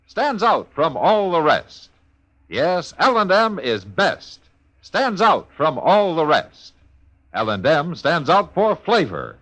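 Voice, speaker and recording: Very animated male radio ad announcer. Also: fairly high pitch, slow pace, no room sound. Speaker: commercial announcer. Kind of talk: 1950s radio cigarette ad, punchy scripted pitch.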